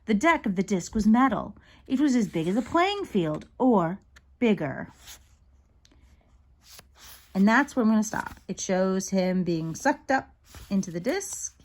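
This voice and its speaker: A woman reading a children's book aloud in an expressive storytelling style, acting out the dialogue as she goes. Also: mid-range voice, measured pace, long pauses.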